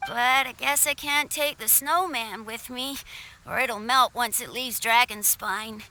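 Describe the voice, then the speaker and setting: A woman voicing a sweet, excitable little boy in a video game cutscene, with noise in the background. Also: on the high side, a little slow. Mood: grateful, wistful.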